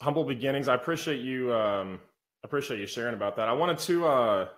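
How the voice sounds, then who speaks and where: A man's voice: mid-range voice, expressive, fast, a bit bright. A man hosting a casual live-stream interview, friendly and informal, calling his guest "man". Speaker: interview host.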